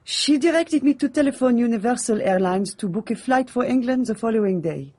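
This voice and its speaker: An actress in a radio drama playing a guarded, defensive maid, formal in speech. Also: female voice, on the high side, expressive, fairly quick, a little mellow. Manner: formal, guarded, curt denials. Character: guarded, defensive French maid loyal to her dead mistress.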